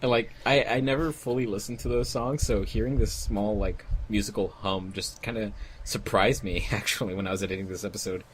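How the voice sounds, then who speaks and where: Low-voiced man in casual commentary. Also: fairly even intonation, brisk, a little hiss or hum. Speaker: fandub editor, commentator.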